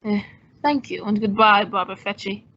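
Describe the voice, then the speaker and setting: A woman playing a suave, wry gentleman sleuth, polished and teasing, in an old-time radio detective drama. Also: mid-range voice, moderate pace, highs cut off, faint music behind, close to an everyday voice. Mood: wry, persuasive.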